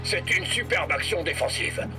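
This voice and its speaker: A man's voice: on the high side, lively intonation, moderate pace, close to an everyday voice. A man playing an earnest, spirited young football player in a cartoon, with music behind him. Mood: sincere. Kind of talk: cartoon voice acting.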